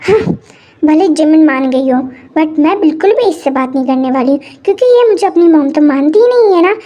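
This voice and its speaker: Woman playing a stubborn mom sulking, indignant, really high voice. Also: lively intonation, brisk, mellow, highs cut off. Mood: sulky. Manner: sulky, indignant. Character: strict sulking mother, stubborn.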